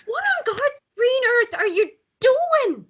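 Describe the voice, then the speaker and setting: A woman reading the part of a stern, guarded single mother in a drama table read, brisk and curt. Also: very high voice, very animated, lo-fi sound, heavily acted. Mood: exasperated.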